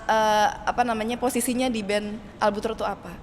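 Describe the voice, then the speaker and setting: A woman's voice: fairly high pitch, steady tone. A woman hosting a casual, playful interview chat, often echoing back what her guests say. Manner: playful, quick to echo answers. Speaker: host. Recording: noisy, long echoey reverb.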